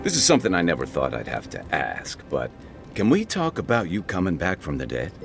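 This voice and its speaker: A man playing a gruff, wry and loyal travelling companion in a fantasy video game, talking in a conversational, dryly humorous way. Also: sits low, wildly swooping pitch, a little fast, over music, exaggerated voice. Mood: curious, probing.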